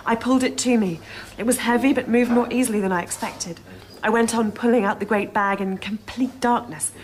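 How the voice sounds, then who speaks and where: An actress playing a composed, well-read production researcher in a naturalistic radio drama, matter-of-fact and obliging. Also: female voice, a little high, steady tone, brisk, lightly acted, low-quality recording. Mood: hushed storytelling. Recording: ambient noise on location.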